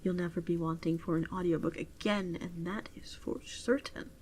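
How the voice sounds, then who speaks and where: A woman playing a gentle, doting virtual pet adoption clerk in a soft-spoken ASMR roleplay, often slipping into a whisper, unhurried and attentive. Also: fairly low pitch, fairly even intonation, a little hiss or hum, natural voice. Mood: fond.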